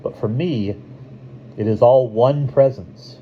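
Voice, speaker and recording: A man's voice: mid-range voice, takes it slowly, pitched above the performer's own voice, natural voice. A man voicing a serene, reverent druid in an audiobook. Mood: serene, explaining. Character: serene, reverent druid explaining beliefs in a novel. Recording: dull lo-fi recording, faint hiss.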